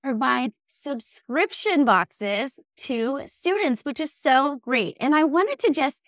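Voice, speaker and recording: A young woman hosting a podcast interview, warmly enthusiastic and upbeat. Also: high-pitched, expressive, takes it slowly, no room sound, clean studio sound. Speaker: podcast host. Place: bright indoor room. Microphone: headset and studio mic.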